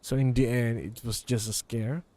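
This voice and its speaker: A man livestreaming a game with casual, halting short remarks in reaction. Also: mid-range voice, fairly even intonation, background noise.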